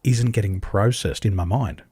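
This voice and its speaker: An Australian man, a podcast guest, speaking on a video call and telling animated stories, acting out dialogue with big leaps in pitch and emphatic repetition. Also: normal speed, husky, no echo. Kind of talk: animated conversational storytelling. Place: home in Taiwan, over a video call.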